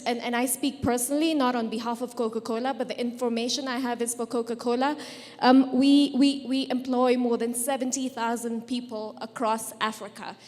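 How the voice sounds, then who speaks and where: A woman with a Kenyan accent, a native Swahili speaker, asking an articulate, probing question from the audience. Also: a little high. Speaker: audience member. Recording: echoey conference hall.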